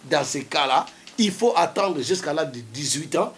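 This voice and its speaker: A man's voice: a little high, animated, normal speed. A man, a studio guest arguing his point on a TV talk show, insisting and repeating himself to keep the floor. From Democratic Republic of the Congo. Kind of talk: heated debate on a TV talk show.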